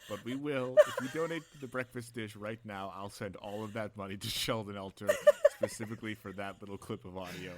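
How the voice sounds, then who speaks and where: A man's voice: fairly low pitch, a little fast, hardly any pauses. A young adult man hosting a podcast in a casual, playful, wry manner. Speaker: podcast host.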